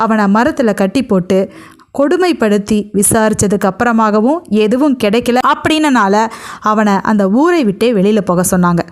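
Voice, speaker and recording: A woman storyteller narrates a tale in a high voice. Her pitch moves up and down a great deal as she tells it dramatically. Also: expressive, normal speed, darkish tone.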